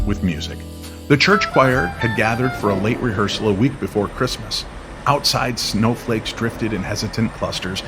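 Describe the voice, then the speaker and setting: A man reading scripted story narration in an old-time radio style, measured and dramatic. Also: mid-range voice. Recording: music bed under the voice. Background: music.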